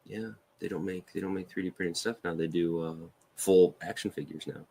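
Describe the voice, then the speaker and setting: Male interview guest, deep voice, informal, slightly hesitant explanations. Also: steady tone, normal speed, gravelly, mellow. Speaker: podcast guest, figure sculptor. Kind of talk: casual interview chat.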